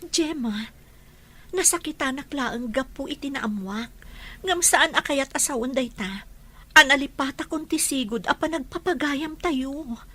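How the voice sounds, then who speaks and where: A woman's voice: sits high, measured pace, sharp tone. A woman acting as a hurt, resentful but loyal young friend in an audio drama. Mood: hurt, indignant. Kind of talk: Ilocano radio-style drama acting.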